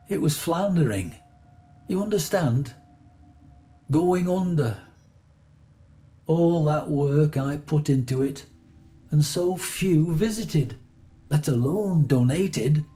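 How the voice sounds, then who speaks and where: Regretful museum curator, mellow tone, extremely unhurried, plaintive, theatrical, video game monologue. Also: male voice, fairly high pitch, expressive. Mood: mournful. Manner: plaintive, dramatic, drawn-out phrasing.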